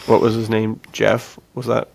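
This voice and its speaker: A man's voice: lowish voice, completely flat delivery, moderate pace, gravelly, a little mellow, a little hiss or hum. A man co-hosting a podcast, talking casually in a laid-back, jokey way with informal slang. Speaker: podcast co-host. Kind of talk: casual film discussion.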